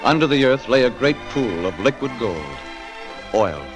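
An actor playing a wandering vaquero storyteller, narrating theatrically in 1940s radio drama style. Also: male voice, medium pitch, animated, moderate pace, close to an everyday voice. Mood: dramatic, romantic. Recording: old 1940s radio recording with music.

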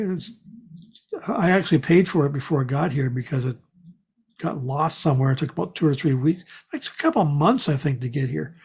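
A woman with a husky voice in casual conversation, telling things in a measured, rambling way. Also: low-pitched, a little mellow, dull audio. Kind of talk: casual chat.

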